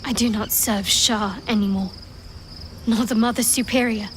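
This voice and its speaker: A woman playing a disillusioned but resolute former cult devotee in a video game, reflective and measured. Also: on the high side, steady tone, a little hiss or hum, lightly acted. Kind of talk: dramatic game dialogue.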